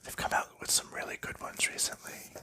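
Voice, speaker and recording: A man, host of an ASMR channel, softly whispering in a rambling, casual way while sleeving board game cards. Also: brisk. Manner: soft rambling whisper with light laughs and fillers.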